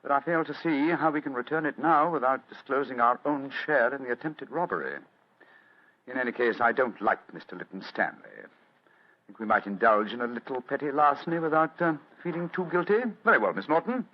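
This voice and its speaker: A man's voice: mid-range voice, animated, a little fast, close to an everyday voice. Man acting a theatrical, urbane detective. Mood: indulgent. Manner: theatrical, precise, courtly. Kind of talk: old-time radio drama acting. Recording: dull vintage radio drama recording.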